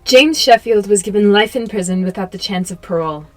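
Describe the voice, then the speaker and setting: A woman's voice: mid-range voice, a little fast, faint music behind, close to an everyday voice. A woman reading scripted narration for a radio drama.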